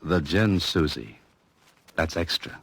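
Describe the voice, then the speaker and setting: An American actor playing a wry, cool novelist-sleuth in a 1940s radio drama, with dry, clipped delivery. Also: male voice, rumbling low, animated, slow pace, a bit bright, breathy edge, no echo, full character voice. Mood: teasing. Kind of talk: 1940s radio drama acting and first-person narration. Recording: old radio recording with music behind.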